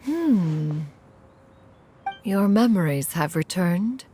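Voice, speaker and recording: An actress playing an aloof, dignified immortal in a video game, speaking in a formal, archaic manner. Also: female voice, fairly low pitch, lively intonation, extremely slow, dark tone, faint music behind. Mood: grave, sympathetic. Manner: formal, archaic, refers to herself as "one". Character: aloof, dignified female immortal crane adeptus.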